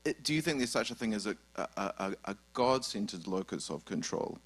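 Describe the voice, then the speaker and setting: A man's voice: lowish voice, expressive, fairly quick. A man with a New Zealand accent asking a question from the audience, hesitant and full of restarts. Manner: hesitant, many restarts and fillers. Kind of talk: live question from the floor.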